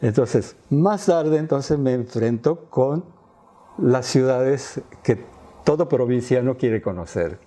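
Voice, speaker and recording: A man's voice: medium pitch, lively intonation, slow pace, warm tone. A man in his eighties with an Argentine accent, a native Quechua speaker, recounting his life as an interview guest. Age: 80s. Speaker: interview guest, former radio and TV announcer. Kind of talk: autobiographical storytelling in an interview.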